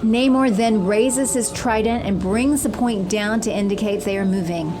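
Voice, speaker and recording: A woman's voice: mid-range voice, normal speed. A woman delivering scripted dramatic narration as the narrator of an audio story.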